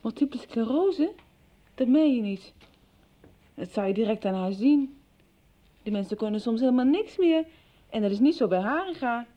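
A woman's voice: a little high, expressive, moderate pace, pauses often, highs cut off. An actress in a radio soap playing a hurt yet concerned young woman who confides and questions earnestly. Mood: doubtful, surprised. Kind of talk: 1990s Dutch radio soap acting.

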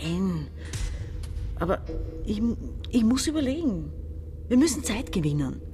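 A woman's voice: medium pitch, big pitch swings, unhurried, dark tone, faint music behind. An actress playing a bitter, domineering woman in her forties in a radio play, insistent and condescending. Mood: insistent, impatient. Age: 40s. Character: domineering, bitter sister masterminding a robbery. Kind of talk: radio play dialogue and monologue.

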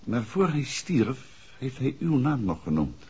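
An actor playing a courteous, inquisitive gentleman detective in an old radio drama, speaking politely and probingly. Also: mid-range voice, very animated, measured pace, husky, full character voice. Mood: probing, measured. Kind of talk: 1960s Dutch radio drama acting. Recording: muffled old radio recording.

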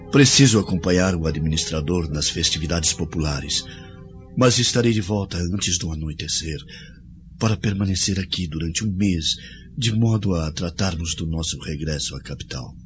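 A man's voice: sits low, moderate pace, soft background music, close to an everyday voice. An actor playing a dutiful young Roman nobleman in a radio drama, speaking earnestly. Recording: dull lo-fi audio with faint score.

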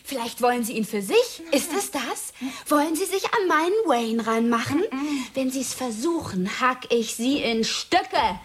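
A woman's voice: high-pitched, expressive, unhurried, few pauses, husky, full character voice. A woman playing a childlike, volatile young outlaw in love, in a radio drama, with a naive, intense manner. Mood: jealous fury.

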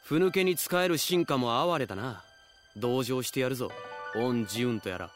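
A man's voice: medium pitch, normal speed, soft background music, natural voice. A man acting in a Japanese audio drama as a haughty, prickly fallen nobleman, speaking in an imperious, archaic, scornful way. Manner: imperious, sneering, archaic formal speech.

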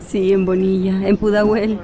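A woman's voice: medium pitch, flat delivery, normal speed, mellow, close to an everyday voice. An actress playing a tender, nostalgic middle-aged Chilean mother back from exile, reminiscing in a radio drama. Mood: fond, nostalgic.

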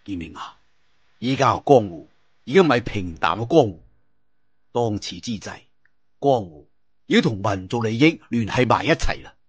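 A Cantonese man voicing a righteous martial-arts leader with grave, measured oratory in an audio storybook. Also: fairly low pitch, expressive, husky, no echo, muffled recording. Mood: solemn, resolute.

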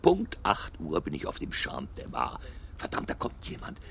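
An actor playing a cold, sardonic murderer in a German radio drama, muttering calculatingly and mockingly to himself. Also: male voice, rumbling low, huge pitch swings, moderate pace, creaky, fairly bright tone, whispered, full character voice. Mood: calculating, smug. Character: cold, sardonic murderer staging a man's suicide.

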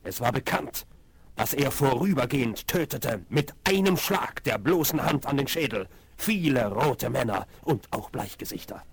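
Solemn, gravelly warrior chief. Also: male voice, mid-range voice, animated, normal speed. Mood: grave, admiring. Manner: solemn, ceremonious, inverted word order. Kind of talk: 1970s German radio play acting. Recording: vinyl rip of 1970s radio play.

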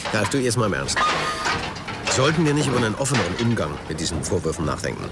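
A man's voice: fairly low pitch, big pitch swings, fast talker, loud music behind the voice. An actor playing an earnest, uneasy male press spokesman in a German radio crime drama, arguing and pleading. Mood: earnest, urging. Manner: pleading, argumentative.

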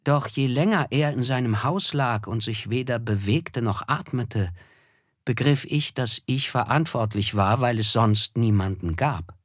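An actor playing a sober, observant first-person narrator in a literary radio play. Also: male voice, mid-range voice, a little slow, dry recording, studio quality, close to an everyday voice. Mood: sombre, reflective. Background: music.

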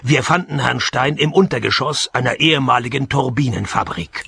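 Man acting a gravelly, deadpan private eye. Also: mid-range voice, normal speed, noisy background, close to an everyday voice. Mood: wry, weary. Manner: deadpan, dry. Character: sardonic, down-at-heel, gluttonous male private detective. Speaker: lead actor and first-person narrator. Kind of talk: radio play, noir-parody narration and dialogue.

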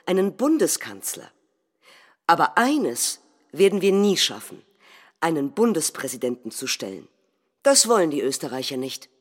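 A woman's voice: on the low side, slightly rough, heavily acted. A woman acting in documentary theatre, playing a proud, fervent right-wing politician speaking emphatically to followers. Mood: proud, emphatic. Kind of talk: staged political speech.

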